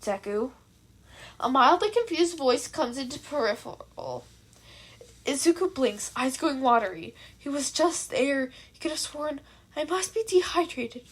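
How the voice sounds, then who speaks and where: A woman reading fan fiction aloud as an expressive amateur story narrator. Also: high-pitched, a little slow.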